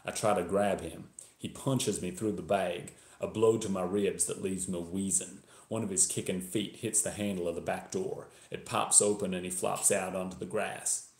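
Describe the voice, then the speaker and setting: A lowish, hard-boiled Texan man as a reader acts him out tells a crime thriller in the first person. He sounds tense and speaks with an attempted Texas drawl. The room echoes around him on a live stream. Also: fairly even intonation, moderate pace, darkish tone. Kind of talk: first-person audiobook-style thriller reading. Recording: roomy echo, live video stream.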